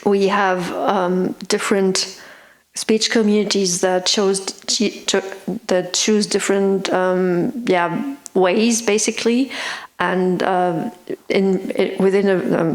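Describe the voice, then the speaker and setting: A woman academic speaking on a panel, hesitant with many pauses and restarts. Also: mid-range voice. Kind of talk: panel discussion.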